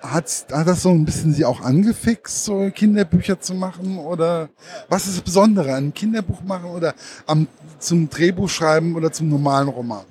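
A man's voice: a little high, moderate pace, a little mellow, a little hiss or hum. A man interviewing a guest at a book fair, asking hesitant questions with many fillers and repeated words.

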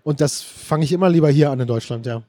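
A man's voice: a little high, quick pace, mellow. A middle-aged man interviewed at a book fair, speaking thoughtfully with frequent restarts and fillers. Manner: thoughtful, restarts sentences often, many fillers. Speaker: interview guest, screenwriter and director. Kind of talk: casual interview talk.

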